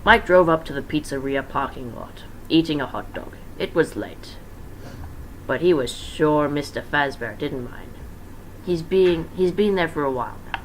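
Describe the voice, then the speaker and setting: A man's voice: on the high side, moderate pace, fairly bright tone, rough recording. A young man reading fan fiction aloud as an informal dramatic narration, breaking off for casual asides. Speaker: amateur fan-fiction reader. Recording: faint background noise.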